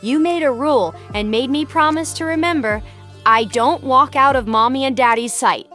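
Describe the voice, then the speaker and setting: Casting: woman to play an earnest, eager small boy for audiobook, high-pitched. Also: normal speed, pushed and bright. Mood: frustrated. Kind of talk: audiobook character dialogue. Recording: music behind the voice.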